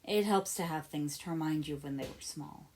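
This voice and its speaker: A woman's voice: low voice, a little fast, darkish tone. A woman voicing a fond, anxious mother in a read-aloud story. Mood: fond, gentle. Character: fond, anxious mother comforting a young boy.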